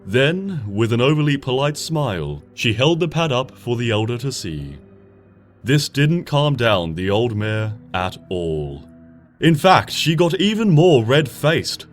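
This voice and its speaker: A man reading a story aloud as expressive audiobook narration, moving between dramatic and hushed passages. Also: medium pitch. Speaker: fanfiction reader. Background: soft background music.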